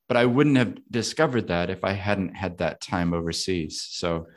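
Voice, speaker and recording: A man's voice: low voice, lively intonation, normal speed. A man with an American accent, raised in New Zealand, talking casually and thoughtfully about his life, laughing now and then. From United States. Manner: thoughtful, laughs often, asks himself questions aloud. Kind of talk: reflective casual conversation.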